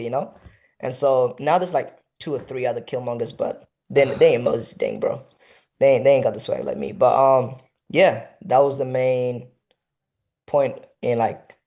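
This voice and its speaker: Laid-back young man, mellow voice. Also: medium pitch, vocal fry. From South Sudan. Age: young adult. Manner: laid-back slang, frequent "you know". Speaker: fighter, guest. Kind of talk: casual interview.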